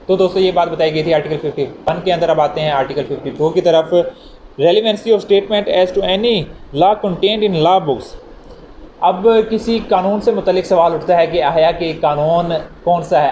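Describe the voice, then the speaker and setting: A man with a Pakistani accent giving an explanatory lecture in a didactic way, repeating phrases to make points clear. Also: a little high, dark tone. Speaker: law lecturer. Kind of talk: explanatory lecture with examples, mixing Urdu and English. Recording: echoey room with faint background noise.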